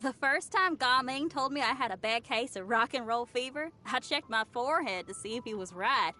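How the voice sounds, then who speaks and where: A woman's voice: fairly high pitch, animated, moderate pace, sharp tone. A woman playing a brash, cheerful young female rock musician in video game voice lines, chatting in a folksy, playful way. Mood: cheerful, confiding. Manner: chatty, folksy, colloquial with tag phrases.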